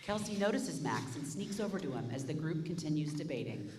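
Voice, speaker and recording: A woman's voice: deep voice, very animated, fairly quick, noisy background. A woman reading the stage directions plainly in a staged reading of a musical.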